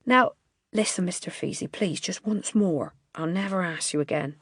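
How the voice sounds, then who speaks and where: A woman's voice: lowish voice, normal speed, fairly bright tone, husky, no room sound. An earnest, nervous man pleading and bargaining for his dog, as a woman reading the tale puts him on. His voice rises and pushes with urgency. The sound is dry and close.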